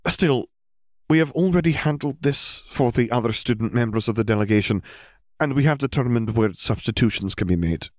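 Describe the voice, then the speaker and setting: A man voicing a stern older female teacher at a magic school, speaking in a formal, precise, measured way. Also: medium pitch, a little mellow, no echo, pitched above the performer's own voice, lightly acted. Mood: brisk, instructive.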